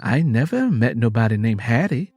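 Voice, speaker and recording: A man's voice: medium pitch, sing-song, normal speed, darkish tone, no echo. A man playing a meek Southern grandmother. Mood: polite, guarded. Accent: American South. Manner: deferential, plain-spoken, cautious.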